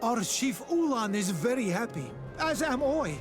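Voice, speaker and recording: An actress playing a grateful islander village trader in a fantasy game, warm and ceremonious. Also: female voice, on the high side, big pitch swings, over music. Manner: ceremonious, deliberate.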